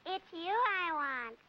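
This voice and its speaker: A tiny, very high girlish voice, coy and gushing, as a boy-crazy youngster in a 1940s comedy film. Her delivery swoops playfully through a muffled, hissy old soundtrack. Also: full character voice.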